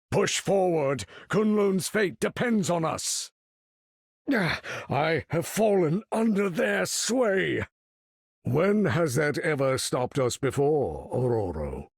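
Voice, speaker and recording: An actor playing a proud, imperious older mutant leader in a video game, speaking in a grand, commanding, formal manner with a husky, gravelly voice. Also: male voice, on the high side, no room sound, clean studio sound, full character voice. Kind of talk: theatrical video game character acting.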